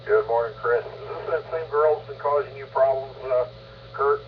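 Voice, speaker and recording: A man chatting casually as an amateur radio operator, with a halting, hesitant delivery, over a two-way radio. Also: medium pitch. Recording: scratchy, muffled ham radio with static hum.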